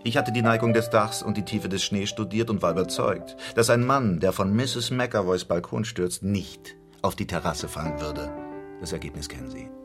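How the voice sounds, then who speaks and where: An actor playing a self-assured, coolly logical detective who lays out a case in an articulate, measured radio drama style. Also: male voice, low-pitched, music in the background. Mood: self-satisfied. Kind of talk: radio drama acting, explanatory monologue.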